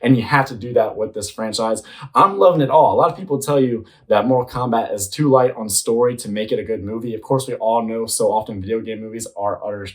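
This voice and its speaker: A man's voice: lowish voice, fast talker. A man giving casual, slangy commentary as an enthusiastic host reacting to a movie trailer. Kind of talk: excited casual commentary to viewers.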